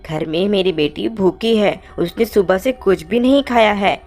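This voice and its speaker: A woman plays a poor village mother from a cartoon moral story, a gentle witch with a loving heart. Her voice sits at a middle pitch, pleading and reassuring. Faint music runs underneath. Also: normal speed, natural voice. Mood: worried, pleading.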